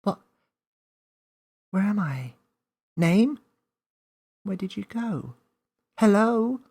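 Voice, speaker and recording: An excitable young girl in a children's storybook, acted out by a man, her voice pitched well above his own and a little husky. She is curious and wide-eyed, speaking with breathless, hesitant wonder. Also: very slow, exaggerated voice. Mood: puzzled.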